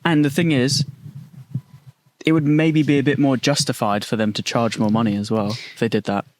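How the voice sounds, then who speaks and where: A young man from the UK talks in mid-range pitch with eager, slangy enthusiasm. He jumps into topics and keeps the chat loose. He is a podcast co-host. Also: flat delivery, no echo. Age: young adult. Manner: enthusiastic, slangy, quick to laugh. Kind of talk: casual chat.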